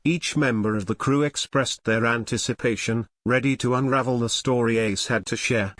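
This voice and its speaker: A man giving scripted storybook narration of an audio drama in a measured, dramatic way. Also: mid-range voice, fairly bright tone, dry recording.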